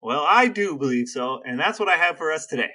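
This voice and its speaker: A man's voice: on the high side, animated, a little fast, sharp tone. A man co-hosting a casual podcast with his brother, wry and mock-formal in tone. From American Midwest. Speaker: podcast co-host. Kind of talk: casual chat between brothers.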